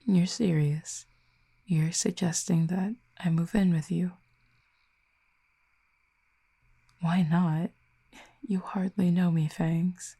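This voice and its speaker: Tender but guarded female Cupid played by a woman, intimate roleplay, low and gravelly-soft, measured formal phrasing. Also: steady tone, heavily acted. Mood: incredulous. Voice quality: soft, husky, breathy. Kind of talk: intimate audio roleplay acting.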